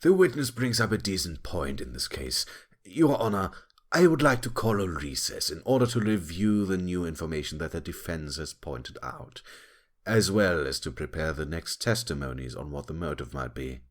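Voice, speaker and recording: A man's voice: low-pitched, expressive, normal speed. A man playing a cool, skeptical prosecutor who speaks formally in an audio drama. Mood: formal, measured.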